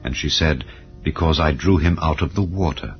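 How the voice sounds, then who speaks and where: A man narrating scripture as a dramatized audiobook, in a solemn, measured storytelling manner. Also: extremely low pitch. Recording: slightly dull audio over music. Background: clear background music.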